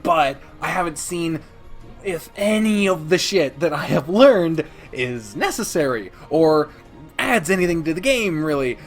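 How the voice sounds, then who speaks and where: A man's voice: a little high, animated, unhurried, faint music behind. A man giving a casual, opinionated countdown review of games, rambling and emphatic with plenty of swearing. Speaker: video host and game reviewer.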